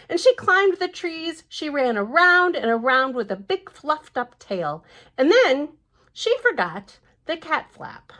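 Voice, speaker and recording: A woman reading a storybook aloud to young children, animated and sing-song, with chatty asides and repeated little affirmations. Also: a little high. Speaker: children's librarian and storytime reader.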